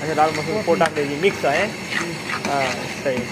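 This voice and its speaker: A man's voice: fairly high pitch, moderate pace, heavy background noise. A young man from Azad Kashmir, chatting casually and questioning a cook at a roadside hotel. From Azad Kashmir, Pakistan. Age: young adult. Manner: chatty, joking, asks many questions. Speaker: vlogger. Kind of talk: casual travel vlog chat. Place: streets, then a small roadside hotel kitchen. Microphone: handheld camera at arm's length. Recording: clear background music.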